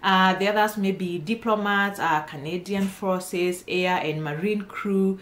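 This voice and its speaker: A woman's voice: medium pitch, steady tone, a little slow, a bit bright. A woman speaking informally to viewers as an immigration consultant, emphatic and encouraging, repeating phrases for stress. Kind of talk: informal explainer addressed to viewers. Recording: roomy, echoing voice.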